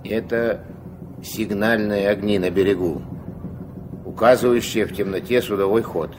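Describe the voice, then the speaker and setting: An actor in a Soviet radio drama playing a gruff, commanding old riverboat captain who gives curt orders in folksy speech. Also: male voice, fairly low pitch, normal speed, close to an everyday voice. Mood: grumbling, instructive. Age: older. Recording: noisy 1970s radio play recording.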